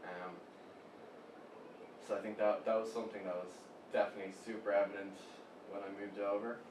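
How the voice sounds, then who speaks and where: A man's voice: on the low side, little pitch movement, fairly quick. Easygoing irish man, roomy and distant. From Ireland. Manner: easygoing, hesitant with many fillers and repeats. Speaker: guest, web agency co-founder. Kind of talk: casual interview talk. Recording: roomy, distant mic with background noise.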